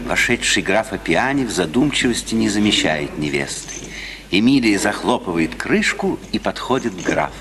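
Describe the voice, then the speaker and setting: A man's voice: lowish voice, very animated, normal speed. A man reading stage directions as the narrator of a 1950s radio play. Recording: old noisy, hissy radio recording.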